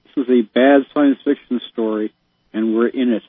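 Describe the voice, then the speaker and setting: A man's voice: medium pitch, steady tone, normal speed, husky, no echo. A man calling into a talk show, speaking in an exasperated, emphatic way. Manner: exasperated, emphatic, given to sighs. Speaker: caller. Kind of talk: casual phone chat. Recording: tinny phone line.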